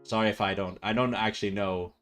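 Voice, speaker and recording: A young man, chatting loosely with his live-stream viewers. He rambles, restarts his sentences and fills gaps with "uh" and "um". Faint music plays softly behind him. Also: fairly low pitch, steady tone, fast. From Portugal. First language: Portuguese. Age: 20s. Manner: rambling and self-correcting, with frequent fillers and laughs. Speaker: livestreamer. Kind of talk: casual live-stream chat reading viewer comments.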